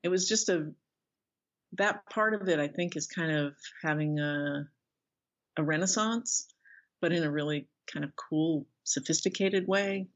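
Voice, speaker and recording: A middle-aged woman talks in a casual interview over slightly dull, lo-fi audio. She wanders through her thoughts haltingly, often restarting a sentence partway. Her delivery is conversational, like an author discussing her work. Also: low voice. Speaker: author and illustrator being interviewed.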